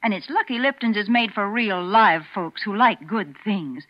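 A woman's voice: lively intonation, moderate pace, no room sound. A woman reads a 1940s radio commercial, cheerful and chatty, her voice rising and falling. The broadcast sounds dry and dull. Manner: cheerful, chatty sales pitch. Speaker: announcer.

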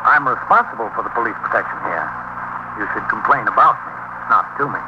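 An actor playing a measured, dryly firm police precinct captain in a 1950s radio drama. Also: male voice, medium pitch, lively intonation, natural voice. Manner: measured, dry, authoritative. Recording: very noisy muffled 1950s radio recording.

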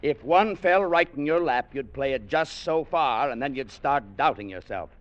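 A man's voice: mid-range voice, expressive, normal speed, lightly acted. An actor playing a bossy, condescending American manager who needles his employee in an old radio drama. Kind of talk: 1940s-50s radio drama acting. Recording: dull vintage radio recording.

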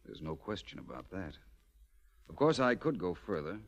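An actor playing a suave, self-assured detective in a 1940s radio drama, with an urbane, wry, theatrical delivery. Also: male voice, low-pitched, very animated, moderate pace, creaky, heavily acted. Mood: smooth, coolly flirtatious. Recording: dull, lo-fi 1940s radio recording.

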